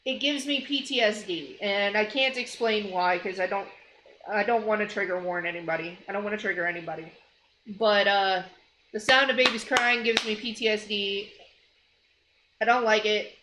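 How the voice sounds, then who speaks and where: A woman giving casual reaction commentary as a video host, blunt, expressive and freely swearing. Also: medium pitch, normal speed, slight background noise, low-quality recording.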